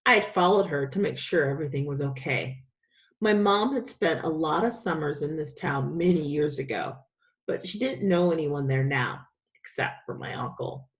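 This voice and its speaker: Lady teacher reading a kids book out loud, lowish voice that moves around a lot. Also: expressive, normal speed. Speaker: teacher reading aloud to pupils. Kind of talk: expressive children's story read-aloud.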